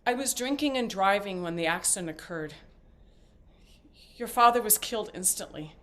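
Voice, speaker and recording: A woman acting a talkative, evasive and devoted mother in a stage play, with a halting, theatrical delivery. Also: medium pitch, lively intonation, a bit bright, slight background noise, lightly acted. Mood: confessing, halting. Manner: halting, rambling, theatrical emphasis. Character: talkative, evasive mother and grandmother, fiercely devoted, just paroled.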